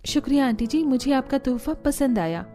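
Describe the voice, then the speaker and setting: A woman's voice: sits high, expressive, moderate pace, mellow. A woman voicing a meek, respectful young village daughter-in-law in a Hindi cartoon family drama. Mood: humble.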